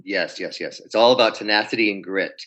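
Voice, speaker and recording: A man being interviewed as a pitch coach, telling anecdotes and acting out quoted dialogue. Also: a little fast, bright, forceful tone. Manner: storytelling, acts out quoted dialogue.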